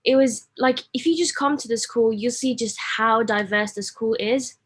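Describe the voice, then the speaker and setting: A young woman answering as a former student on an online panel, reflective and measured, often saying "you know", over a video call. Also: on the high side, a bit bright, slightly rough. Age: young adult. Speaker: alumna panelist.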